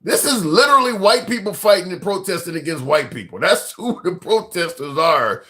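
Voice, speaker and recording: A man's voice: high-pitched, animated, moderate pace, sharp tone. Rambling livestream host, a man. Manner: talks to viewers and callers directly, rambling and emphatic, swears casually. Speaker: livestream political call-in host. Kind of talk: casual call-in talk show.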